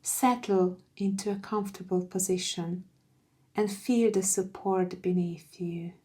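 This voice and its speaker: A woman guiding a meditation in a soft, soothing, gentle voice with breathy phrase endings. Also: on the low side, fairly even intonation. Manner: soothing, gentle, unhurried with long pauses. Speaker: meditation guide. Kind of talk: guided meditation narration.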